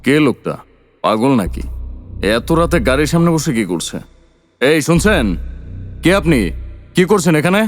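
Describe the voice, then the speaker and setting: An actor in an audio drama playing a tired doctor, wary and easily irritated. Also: male voice, a little high, very animated, moderate pace, pauses often, soft background music. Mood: puzzled, wary.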